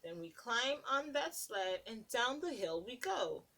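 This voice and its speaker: A woman's voice: on the high side, very animated, measured pace, pushed and bright. Sing-song woman reading to preschoolers. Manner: sing-song, asks children questions between pages. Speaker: preschool teacher. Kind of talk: picture book read-aloud for children.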